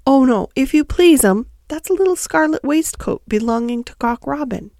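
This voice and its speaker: A woman's voice: high-pitched, lively intonation, normal speed, a little mellow, no echo, clean studio sound, voice pushed up. A woman voicing an eager, polite, stout little hedgehog washerwoman from a children's storybook, chatty, fussy and deferential.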